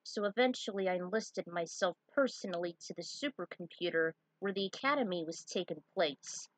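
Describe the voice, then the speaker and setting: A woman voicing a solemn male guardian, a giant living hand, in a formal, deliberate manner in a fan story reading. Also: fairly low pitch, dry recording, close to an everyday voice, low-quality recording. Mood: solemn, confiding.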